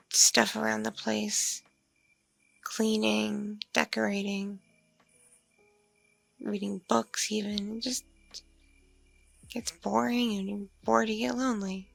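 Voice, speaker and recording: A woman playing a lonely, coy vampire mother in an ASMR roleplay, musing slowly in a husky, breathy, creaky voice with soft hums. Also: medium pitch, no echo, heavily acted. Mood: wistful, lonely. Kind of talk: ASMR audio roleplay, intimate one-sided conversation.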